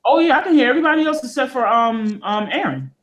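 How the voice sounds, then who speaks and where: A woman speaking as a guest on a casual online call, defensive and quick with comebacks. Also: sits high, animated. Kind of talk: casual chat. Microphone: online call audio.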